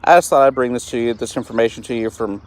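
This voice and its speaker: A man's voice: mid-range voice, fairly even intonation, fast. A man, hosting a solo live stream and talking earnestly and with frustration, often stopping to restart his words. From East Texas, US. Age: 30s. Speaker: host of a live-stream show.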